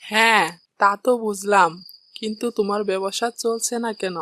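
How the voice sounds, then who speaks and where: Woman acting cartoon shopkeeper's wife, mid-pitched. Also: steady tone, normal speed, a bit bright, a little hiss or hum, close to an everyday voice. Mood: worried, questioning. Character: shopkeeper's wife, questioning homemaker. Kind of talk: Bengali cartoon dialogue.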